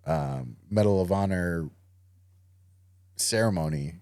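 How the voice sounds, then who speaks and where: A man's voice: low voice, lively intonation, takes it slowly, vocal fry, darkish tone, dry recording, studio quality. A man talking casually as a podcast guest, easygoing, with lots of "you know" and fillers. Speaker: podcast guest, Marine veteran. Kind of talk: casual interview conversation.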